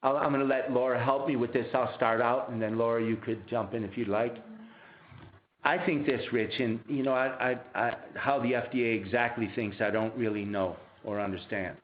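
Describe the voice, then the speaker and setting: A man answering questions as a company executive on a conference call, explaining in a halting way and rephrasing mid-sentence. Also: medium pitch, fairly even intonation. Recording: muffled, roomy conference phone line.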